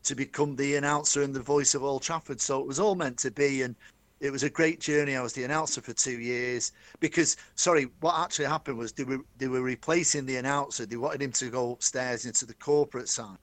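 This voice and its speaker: Middle-aged Mancunian man, chatty storyteller, mid-pitched, dry close recording. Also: fairly even intonation, brisk, a bit bright, dry recording. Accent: Manchester. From Manchester, England. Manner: chatty, enthusiastic, addresses host by name. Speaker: guest, stadium announcer. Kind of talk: storytelling interview.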